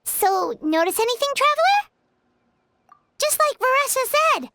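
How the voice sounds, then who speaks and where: A woman voicing a tiny, childlike fairy companion in a video game, squeaky, cheeky and outspoken. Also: big pitch swings, unhurried, loud music behind the voice, full character voice. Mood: encouraging. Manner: squeaky, cheeky, speaks of self in third person. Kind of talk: video game character acting.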